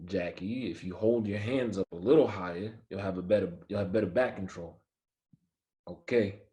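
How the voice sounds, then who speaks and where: Man playing proud low-voiced baseball-star father. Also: moderate pace, dark tone, lightly acted. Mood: insistent, frustrated. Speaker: actor in a screenplay table read. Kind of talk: dramatic table read.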